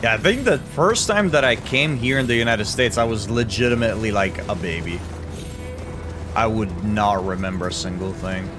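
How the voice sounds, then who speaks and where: A man from Italy gives casual, joking commentary while playing a game and talking to his chat. His voice sits a little low. Game music plays clearly behind him. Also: normal speed. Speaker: streamer.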